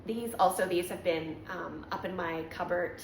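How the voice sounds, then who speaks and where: A woman from Canada hosting a knitting podcast, chatting casually and rambling with lots of ums and self-corrections, her voice slightly raspy from allergies. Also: on the low side, moderate pace, roomy sound, a little hiss or hum, low-quality recording. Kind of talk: casual show-and-tell chat.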